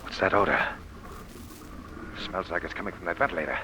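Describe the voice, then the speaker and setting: An actor playing a weary, uneasy ship captain muttering to himself in an old-time radio drama. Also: male voice, sits low, brisk, lightly acted. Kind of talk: 1940s radio acting. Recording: old radio recording, faint noise.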